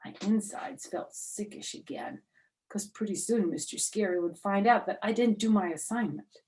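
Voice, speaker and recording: A woman's voice: medium pitch, moderate pace, a little hiss or hum. Mature woman reading a children's storybook. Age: middle-aged or older. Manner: animated emphasis, chatty asides to young listeners. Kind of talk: expressive children's book read-aloud.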